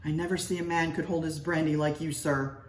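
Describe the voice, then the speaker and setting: A woman's voice: sits low, level tone, fairly quick, close to an everyday voice. Anxious army sergeant, read by a woman: deferential, earnest, storybook; distant, roomy sound. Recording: echoey room, distant mic, noise.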